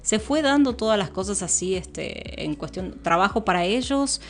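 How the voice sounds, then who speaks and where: A woman speaking as a podcast guest in a relaxed, rambling way, full of fillers and restarts and quick to laugh. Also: medium pitch. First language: Spanish, with English since early childhood. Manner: rambling, frequent fillers and self-interruptions, laughs easily. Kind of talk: casual conversational interview.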